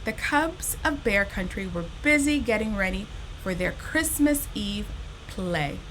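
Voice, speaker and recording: A woman hosting a storytime, reading a children's story expressively and speaking directly to young listeners in an animated, unhurried way. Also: medium pitch, slight background noise, rough recording. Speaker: storytime host reading a children's picture book.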